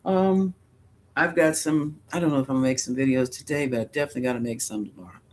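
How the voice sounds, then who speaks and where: A man's voice: medium pitch, quick pace, a little hiss or hum. A middle-aged man hosting a psychic livestream, answering viewer questions in a rambling, musing way and chuckling often.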